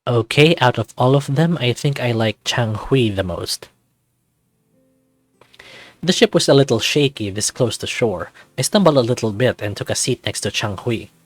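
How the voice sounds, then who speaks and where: A man narrates a visual novel as he plays it, switching between reading the story and chatting casually about it. His voice sits at a middle pitch in a dry, close recording with music underneath. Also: lively intonation, normal speed, no room sound.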